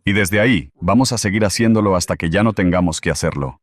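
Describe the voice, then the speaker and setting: A man's voice: low-pitched, fast, no echo. A man speaking as a political commentator guest, emphatic and repeating his key phrases in an interview answer.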